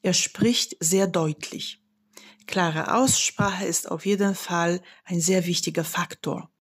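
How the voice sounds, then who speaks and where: A woman from Poland with a Polish accent, a voice coach giving measured, instructive explanations in an interview. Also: fairly low pitch, steady tone, slightly rough. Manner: measured and instructive, demonstrates examples. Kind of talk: slow, deliberate expert explanation.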